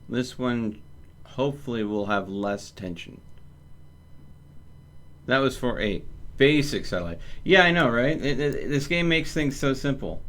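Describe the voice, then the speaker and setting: A man doing casual live gameplay commentary, thinking aloud and explaining as he goes, often halting mid-sentence. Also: mid-range voice. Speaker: video game streamer. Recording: clear steady background noise.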